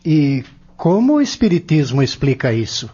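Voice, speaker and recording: Higher-pitched husky young Brazilian man acted by a man. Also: big pitch swings, moderate pace, dark tone. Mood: earnest, curious. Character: inquisitive, earnest young man learning Spiritism. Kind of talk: dramatized audio novel dialogue. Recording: dull lo-fi audio.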